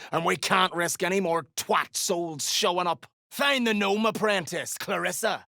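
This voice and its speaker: An actor playing a scheming, greedy, foul-mouthed duergar slaver in a fantasy video game, with a harsh, gruff delivery. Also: medium pitch, bright, forceful tone, dry recording, clean studio sound, exaggerated voice. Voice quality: very gravelly, harsh.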